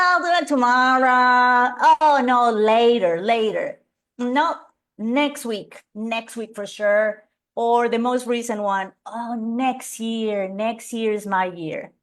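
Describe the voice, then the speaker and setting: A middle-aged woman from Colombia with a Colombian Spanish accent, presenting an energetic live webinar and calling out audience members by name. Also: fairly high pitch. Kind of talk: live webinar coaching talk.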